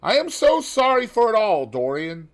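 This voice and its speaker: A worldly, witty gentleman with a raised, lilting upper-class tone, put on by a male audiobook narrator. He sits well above the narrator's usual low register and speaks up loud and bright. An airy, knowing figure from a classic novel reading. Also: sing-song, moderate pace. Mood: urgent, airy.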